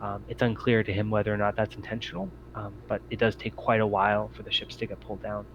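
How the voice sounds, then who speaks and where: A man narrating a tabletop roleplaying game as game master, with a halting, hesitant delivery. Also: lowish voice, little pitch movement, creaky, muffled recording. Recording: faint background noise.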